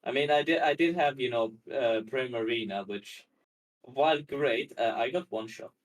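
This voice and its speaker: A man with a medium-pitched voice chats loosely about games. He is informal and swears freely, like a friend on a stream call. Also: moderate pace, poor audio. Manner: profane, informal. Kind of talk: casual gaming chat.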